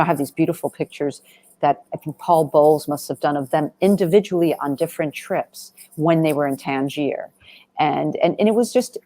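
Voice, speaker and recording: A woman with a low voice speaks as a documentary director in a Q&A. Her delivery is conversational and rambling, leaning on "you know" and stressing her points as she goes. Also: warm tone. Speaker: documentary director being interviewed. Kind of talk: conversational Q&A answers.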